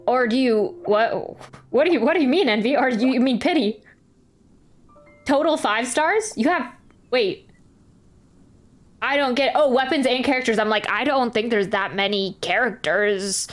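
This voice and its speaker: A woman livestreaming a game, chatting casually in a hesitant, word-repeating way and reading game text aloud. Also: on the high side. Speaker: game streamer.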